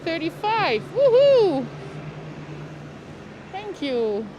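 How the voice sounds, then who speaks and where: A woman with a Dutch accent chats her way through a live outdoor walk, her voice high and her pace unhurried. She talks straight to her viewers on a lapel mic, with clear traffic and street noise all around. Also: sing-song, warm tone, highs cut off. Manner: chatty, reacts aloud, talks to viewers by name. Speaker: livestream walking-tour host. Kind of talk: casual live walking commentary. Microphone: lapel mic while walking.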